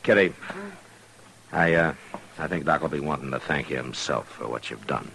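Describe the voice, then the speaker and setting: A stern American frontier marshal with a very low, forceful voice. He is terse and authoritative, protective yet weary. It sounds like a 1950s radio western, with faint hiss on the vintage recording. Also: moderate pace, gravelly, full character voice, low-quality recording. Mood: firm.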